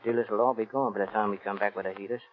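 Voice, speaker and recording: Actor as a fast-talking 1930s radio gangster. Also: male voice, lowish voice, steady tone, lightly acted. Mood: low scheming. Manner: clipped tough-guy slang. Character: cocky, menacing male stickup gangster, coolly ruthless. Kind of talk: 1930s radio crime drama acting. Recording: tinny, band-limited 1930s radio recording.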